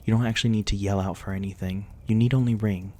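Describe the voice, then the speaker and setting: Casting: male actor as a gentle, courteous vampire host for ASMR roleplay, low and mellow, soft old-fashioned politeness. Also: fairly even intonation, fast talker, close to an everyday voice. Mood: soothing. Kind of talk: intimate ASMR roleplay acting.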